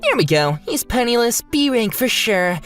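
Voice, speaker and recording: A woman's voice: on the high side, big pitch swings, normal speed, soft background music. A woman voicing a mocking, bragging rich schoolboy bully in a manga dub. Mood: smug. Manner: mocking, smug.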